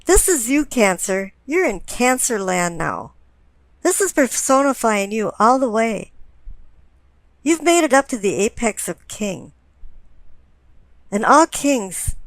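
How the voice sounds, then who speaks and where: A woman giving a tarot card reading, explaining it deliberately, phrase by phrase. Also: medium pitch, big pitch swings, dry recording, low-quality recording. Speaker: tarot reader.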